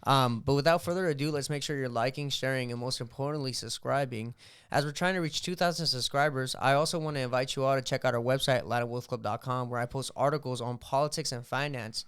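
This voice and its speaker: A man's voice: medium pitch, fast, fairly bright tone, smooth. A young man hosting a podcast, talking in a casual, slangy way and animatedly retelling what happened. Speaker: podcast host.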